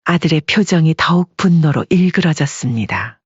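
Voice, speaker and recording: A woman's voice: low voice, lively intonation, moderate pace, slightly rough, dry recording. A woman narrating a scripted dramatic audiobook story. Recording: dull lo-fi audio.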